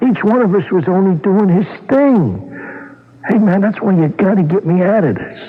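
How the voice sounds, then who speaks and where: An actor in a radio crime drama playing a pleading, wheedling, slangy safecracker. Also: male voice, high voice, moderate pace, lightly acted. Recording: tinny old radio, faint noise.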